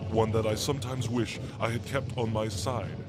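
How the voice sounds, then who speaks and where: A man's voice: lowish voice, fairly even intonation, normal speed, over loud music, lightly acted. A man voicing a pompous, mocking robot villain leader in a radio drama, speaking with grandiose menace. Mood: mocking, menacing. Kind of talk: radio drama cartoon acting.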